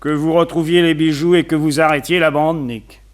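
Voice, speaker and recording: An actor playing a well-connected, opinionated society gentleman in a French radio detective play, talkative, confiding and wryly gossipy. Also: fairly high pitch, level tone, normal speed, natural voice. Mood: urgent, trusting.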